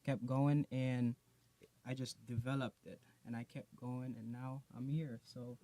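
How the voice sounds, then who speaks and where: A man from Jamaica, a music artist being interviewed on a podcast, telling his story in a relaxed, slangy way with lots of repeated phrases. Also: mid-range voice, a little slow.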